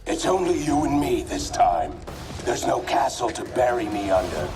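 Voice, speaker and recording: A man's voice: mid-range voice, sing-song, normal speed. A man playing a menacing masked undead fighter in a video game, his voice processed through a filter, with music behind. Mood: aggressive taunting. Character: menacing undead revenant male speedster fighter in a mask. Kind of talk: video game cutscene acting.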